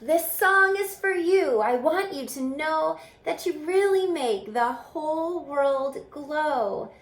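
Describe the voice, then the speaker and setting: Woman playing a small male storybook elf, sincere, selfless, lilting and animated, echoing room. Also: sits high, slow, voice pushed up, heavily acted. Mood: tender, singing. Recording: roomy, echoing room sound.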